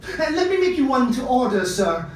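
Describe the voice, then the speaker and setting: A man's voice: extremely high pitch, big pitch swings, moderate pace, voice pushed up. A man voicing a fussy, foreboding older costumier uncle in a story reading, with a hesitant, emphatic delivery. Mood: anxious, deferential. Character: fussy older male costumier, wary, gloomily prophetic uncle. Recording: echoey room, distant mic, faint noise.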